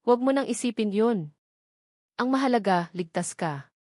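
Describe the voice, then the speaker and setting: A man's voice: high voice, expressive, moderate pace, darkish tone, no echo, clean studio sound. A woman voicing a guarded, protective wealthy man in an audio drama love story, speaking tersely and reassuringly. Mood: calm, reassuring.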